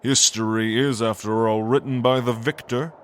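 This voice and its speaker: A man's voice: mid-range voice, fairly even intonation, moderate pace, clean tone, natural voice. A man playing an ancient, arrogant angel lord, a gloating villain who speaks with grandiose contempt in a fantasy audio drama. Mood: contemptuous, boastful.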